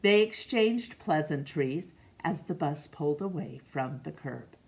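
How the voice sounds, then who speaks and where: Slow, low-pitched woman reading a storybook. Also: lively intonation, a little mellow. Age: middle-aged. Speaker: storytime reader. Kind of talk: read-aloud storybook narration. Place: small room indoors.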